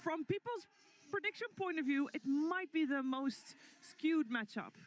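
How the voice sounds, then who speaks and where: A woman's voice: high-pitched, animated, measured pace. A woman presenting a live esports event as stage host, with dramatic, emphatic announcing.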